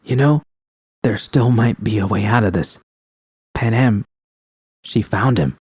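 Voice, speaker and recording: A man's voice: on the low side, a little slow, darkish tone, slightly rough, no room sound, clean studio sound. A man voicing a tough but tender, ailing woman mercenary with casual, clipped speech in a fan fiction story. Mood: tender, sorry. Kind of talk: audio fan fiction dialogue.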